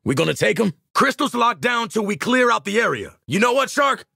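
Husky, cocky mercenary marksman. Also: high-pitched, big pitch swings, brisk, bright, forceful tone, dry recording, clean studio sound, exaggerated voice. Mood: urgent, commanding. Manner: streetwise, sardonic, swearing, commanding. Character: cocky, wry, battle-hardened mercenary marksman in a squad. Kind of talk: video game voice lines.

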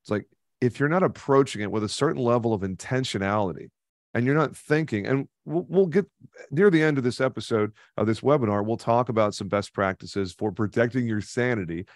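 A man in his thirties, a podcast guest in a home studio, coaching the listener emphatically on a boom-mounted studio microphone. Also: a little fast, no echo. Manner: emphatic, coaching, repeats key points. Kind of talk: conversational webinar interview. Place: home studio with neon sign. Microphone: studio mic on boom arm, headphones.

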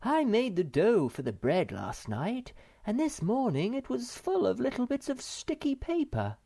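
A reader voicing Moomin Mamma, a gentle troll mother who is easily flurried. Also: medium pitch, wildly swooping pitch, measured pace, creaky, voice pushed up, heavily acted. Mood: fussing.

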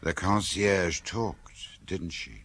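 An actor in a radio drama playing a man who quietly questions a detective, his voice gravelly and husky. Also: deep voice, extremely slow, full character voice. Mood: musing.

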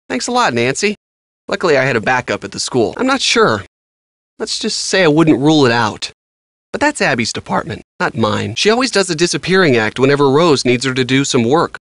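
A man's voice: a little high, a bit bright, no echo. An actor playing an earnest young American handyman in a video game, talking casually and a little defensively. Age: young adult. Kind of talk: conversational video game dialogue.

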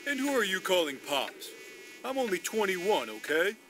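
A teasing young knight in a video game, talks casually in a fairly high, animated voice. There is clear noise behind him. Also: male voice, normal speed. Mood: teasing, firm. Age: young adult. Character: easygoing, teasing 21-year-old knight room leader. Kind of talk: scripted video game acting.

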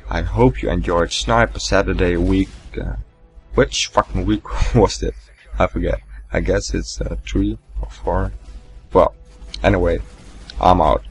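Deep gravelly young man rambling. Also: steady tone, unhurried, faint music behind. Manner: rambling, swearing, laughing at himself. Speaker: gaming commentator. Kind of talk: casual gameplay commentary.

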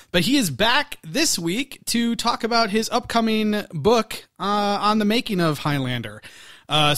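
A man hosting a podcast in casual chat, animated and laughing often. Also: on the high side. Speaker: podcast host.

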